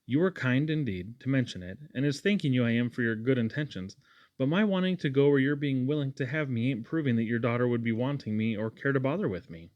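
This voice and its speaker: A man's voice: medium pitch, expressive, fast talker, dry recording, muffled recording, lightly acted. A man reads as a young lad in a storybook, proud but polite. He speaks in an earnest, direct and courteous way, close and dry.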